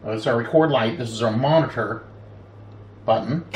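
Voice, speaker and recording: A man's voice: mid-range voice, a little fast. Middle-aged man in a roomy, noisy workshop. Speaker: electronics repair hobbyist, host. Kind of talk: casual hands-on demonstration, thinking aloud. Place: small indoor home workshop at a bench. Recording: roomy, distant mic with background noise.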